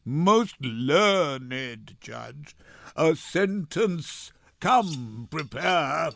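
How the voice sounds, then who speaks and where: Older vengeful moneylender, acted by a man: lowered, grudging, emphatic stage delivery in Shakespearean verse. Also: expressive, very slow, creaky, fairly bright tone, dry recording, studio quality, heavily acted. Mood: vengeful, eager. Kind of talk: dramatic Shakespeare reading.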